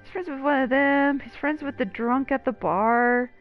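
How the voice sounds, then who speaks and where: Woman, game streamer, higher voice, casual, joking, chatty, faint music behind. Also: moderate pace, dull audio. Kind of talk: casual gameplay commentary.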